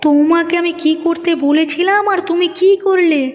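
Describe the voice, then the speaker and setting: A woman's voice: very high-pitched, fairly even intonation, normal speed, lo-fi sound, soft background music. A woman voicing a complaining cartoon village woman, plaintive and nagging. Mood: scolding. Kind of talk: Bengali moral-story cartoon dubbing.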